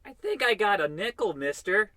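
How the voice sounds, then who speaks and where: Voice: medium pitch, big pitch swings, moderate pace, pushed and bright, no echo. An actor playing a polite, eager neighborhood boy in the gee-whiz manner of an old-time radio comedy. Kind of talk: 1940s radio acting.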